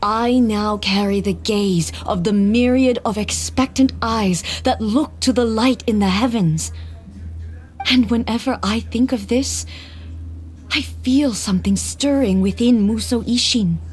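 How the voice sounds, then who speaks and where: A woman playing a solemn, dignified warrior deity in scripted video game dialogue, formal and measured. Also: fairly high pitch, a little mellow, a little hiss or hum, close to an everyday voice. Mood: solemn, resolute.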